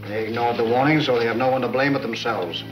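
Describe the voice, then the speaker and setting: An actor playing a cold, calculating gang boss in a 1940s film serial, speaking in a measured, formal, commanding way. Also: male voice, medium pitch, fairly even intonation, muffled recording, natural voice. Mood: coldly menacing. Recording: 1940s film soundtrack with music behind.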